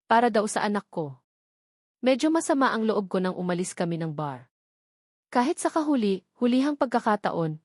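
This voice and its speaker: A woman's voice: medium pitch, a little mellow, dry recording, studio quality. A woman reading a first-person romance novel as an audiobook narrator.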